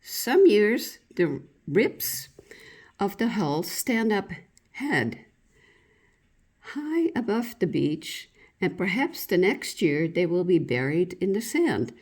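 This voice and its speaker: A woman reading a written local-history reminiscence aloud in a deliberate, storytelling way, occasionally stumbling over a word. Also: medium pitch, big pitch swings, darkish tone. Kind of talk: read-aloud narration of a reminiscence.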